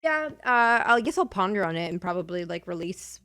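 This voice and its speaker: A woman's voice: fairly low pitch, very animated, brisk, bright, forceful tone, dry recording. Hesitant woman hosting a stream. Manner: hesitant, many "uh"s and restarts. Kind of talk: casual stream chat.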